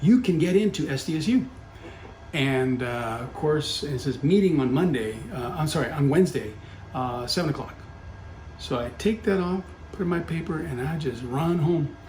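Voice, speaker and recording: A man's voice: on the high side, expressive, normal speed, darkish tone, soft background music. A middle-aged man telling a personal story in casual talk, full of fillers and self-corrections. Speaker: podcast guest, company founder. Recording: roomy echo with faint background hum.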